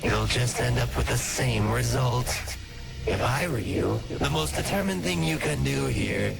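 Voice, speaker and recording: A man's voice: mid-range voice, animated, measured pace, creaky. A man voicing a menacing, taunting skeleton villain in a fan comic dub, drawling with smug false friendliness. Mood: weary, scornful. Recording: music playing under the voice. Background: music.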